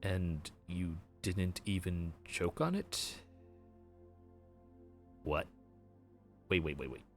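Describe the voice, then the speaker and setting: Deep, slow grim reaper, as a man plays him. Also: soft background music, heavily acted. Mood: puzzled. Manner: exasperated, sarcastic bureaucrat. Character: weary male grim reaper running the underworld queue. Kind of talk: audio roleplay.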